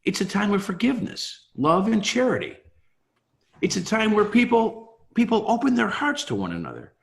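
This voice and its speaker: A man's voice: high voice, huge pitch swings, normal speed, gravelly, heavily acted. A man playing a cheerful, earnest nephew in a table read, pleading in an emphatic, theatrical way. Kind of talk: amateur table read of a Victorian Christmas story.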